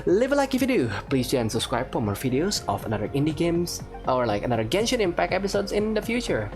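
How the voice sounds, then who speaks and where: A woman hosts a gaming video in a low voice with lively swings. Her commentary is casual, informal and slightly hesitant, with faint music behind her. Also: quick pace. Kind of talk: casual let's play commentary.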